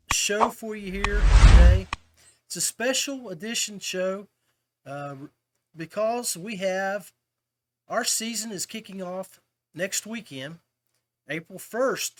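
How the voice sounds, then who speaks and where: Higher-pitched man hosting a casual podcast. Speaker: podcast host. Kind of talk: casual informational talk to viewers.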